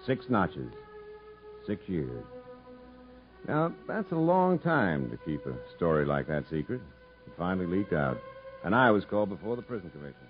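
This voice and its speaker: A man's voice: sits low, big pitch swings, moderate pace, long pauses. A man acting a blunt, loyal, plainspoken prison warden in a 1950s radio drama. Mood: warm, reflective. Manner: earnest, firm, blunt. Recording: muffled 1950s radio broadcast with music.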